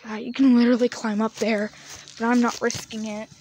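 A woman's voice: fairly high pitch, little pitch movement, fairly quick, a little mellow. A young woman vlogging while walking around a farm yard, chatty and often dropping to a whisper. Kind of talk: casual walk-around vlog. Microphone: handheld phone camera. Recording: noisy outdoor phone audio.

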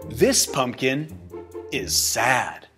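A man's voice: mid-range voice, sing-song, drawn-out words, over music. An American man in his thirties co-hosting a children's storybook show, mixing read-aloud with mock-serious comic banter. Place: small bright indoor studio set.

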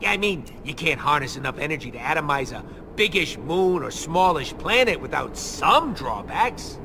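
A man's voice: a little high, sing-song, normal speed, noisy background. A man voicing a small, brash, gun-crazy raccoon mercenary with a griping, sarcastic manner in a video game. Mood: boastful, wry.

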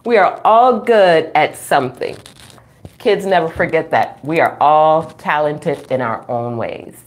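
A middle-aged woman reading a children's book aloud at home, expressive and playful, with chatty asides to young listeners. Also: deep voice. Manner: expressive, playful, drawn-out reading, frequent chuckles and gasps. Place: small room at home.

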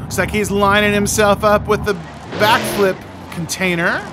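A man's voice: sits high, level tone, normal speed, noisy background. A man hosting and announcing a monster truck game event with exclamatory, cheering play-by-play commentary. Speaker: video host and event announcer.

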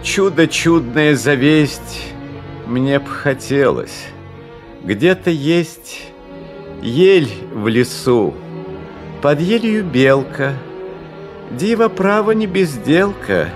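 A man voicing a courteous, melancholy young prince in expressive, drawn-out fairy-tale verse. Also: a little high, faint music behind, pitched above the performer's own voice, full character voice. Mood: wistful.

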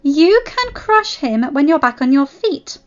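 A woman's voice: high voice, moderate pace, fairly bright tone, lo-fi sound, pitched above the performer's own voice. A woman voicing a tough young female gang leader with a firm, commanding manner in a narrated story. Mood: brisk, commanding.

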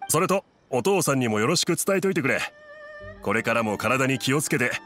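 An actor playing a kindly, easygoing middle-aged merchant in an anime game, speaking politely and expressively. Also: male voice, medium pitch, lively intonation, fairly quick, fairly bright tone, faint music behind, natural voice. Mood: grateful, warm. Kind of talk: Japanese anime game voice acting.

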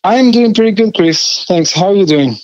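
A man in his thirties from Chile, with a Chilean Spanish accent, telling his story conversationally as an interview guest in measured, hesitant phrases. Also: sits high, animated, no room sound, muffled recording. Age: 30s. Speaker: podcast guest, engineering project manager.

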